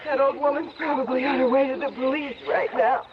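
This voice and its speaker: A woman's voice: high-pitched, steady tone, brisk, lightly acted. An actress playing a weary, worried woman lost in the woods, plaintive and fretful, in an old time radio drama. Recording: muffled old radio broadcast, some noise.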